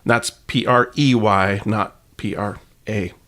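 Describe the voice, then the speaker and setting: A man's voice: on the low side, fairly even intonation, slow pace, a bit bright. A man hosting a podcast, reading scripted narration in a wry way with playful asides. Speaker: podcast host.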